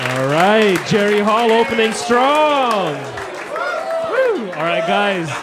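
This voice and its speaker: A man hosting a roast battle, hyping the crowd and shouting introductions for the comics. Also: sits high, huge pitch swings, extremely slow. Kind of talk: live emcee announcements. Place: comedy club with a live audience. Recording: live club recording from off-mic, loud crowd and noise.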